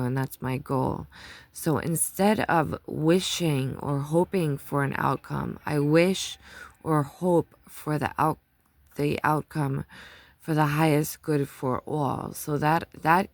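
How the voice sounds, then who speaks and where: A woman's voice: low-pitched, vocal fry, darkish tone, no room sound. A woman past her twenties giving a casual monthly tarot reading, chatty and rambling, laughing often as she tells stories. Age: 30s or older. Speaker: tarot reader.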